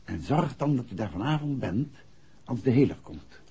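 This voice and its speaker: An actor playing a composed, courteous private detective in a 1960s Dutch radio drama, with measured phrasing. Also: male voice, medium pitch, big pitch swings, dark tone, breathy edge, no room sound, heavily acted. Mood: courteous, reassuring. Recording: dull, muffled vintage radio drama recording.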